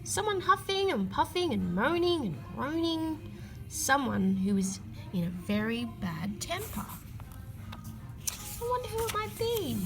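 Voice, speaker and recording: A woman reading a children's picture book aloud, with expressive emphasis and drawn-out key words. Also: sits high, faint music behind. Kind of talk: read-aloud storytelling for children.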